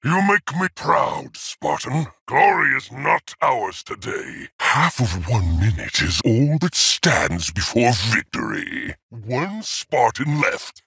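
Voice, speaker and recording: A menacing rogue warlord AI from a video game, with a very husky, rasping voice. The delivery is slow and grandiose, with huge swings in pitch. It sounds commanding and theatrical throughout. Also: male voice, big pitch swings, bright, forceful tone, dry recording, clean studio sound, heavily acted. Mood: grand, scheming. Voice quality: rough, gravelly rasp. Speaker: video game announcer character.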